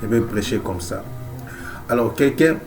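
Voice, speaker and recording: A man's voice: on the low side, animated, normal speed, vocal fry. Male preacher with a head cold, emphatic and repetitive, faint music behind. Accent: Central African French. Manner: emphatic, repeats key phrases, frequent amen and alleluia. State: has a cold. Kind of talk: sermon.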